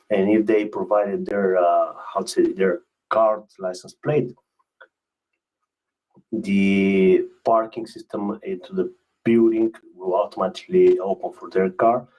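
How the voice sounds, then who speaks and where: A man giving a webinar product presentation as a specialist, speaking in a hesitant, halting way and often repeating words. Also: fairly low pitch, steady tone, darkish tone, poor audio.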